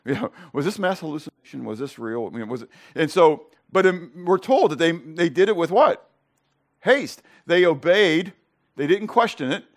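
A middle-aged male pastor preaching a sermon with Greek word study, conversational and peppered with "okay?" and rhetorical questions, rising louder on key points. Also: expressive, moderate pace. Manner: rises louder and higher on emphasis.

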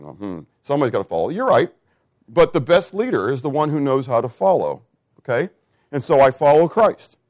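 A man's voice: medium pitch, no echo, clean studio sound. Man in his fifties preaching a sermon. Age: 50s. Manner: rhetorical questions to the congregation, swings loud and soft.

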